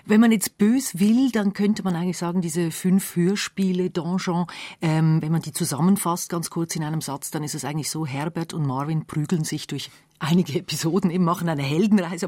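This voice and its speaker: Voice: medium pitch, a little mellow, dry recording. A radio host leads a conversational magazine interview. The delivery is informal and emphatic, with questions built up piece by piece. The studio sound is dry and close.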